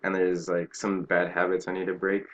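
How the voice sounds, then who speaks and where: A young man chatting casually as an interview guest, peppering his talk with "like" and quick laughing asides. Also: deep voice, completely flat delivery, muffled recording, slight background noise. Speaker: guest, Japanese learner working in Japan. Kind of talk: casual conversation.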